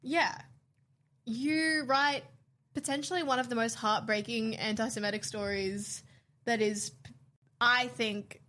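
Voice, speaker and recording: Bright young woman. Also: on the high side, measured pace, long pauses. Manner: excitable, swears freely, says "literally" a lot. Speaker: podcast co-host. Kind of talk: casual chat.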